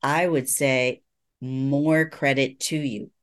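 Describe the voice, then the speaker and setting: A middle-aged man, a psychologist guest, explaining things in an earnest, reassuring, measured way in a conversational interview. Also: mid-range voice, fairly even intonation, clean tone.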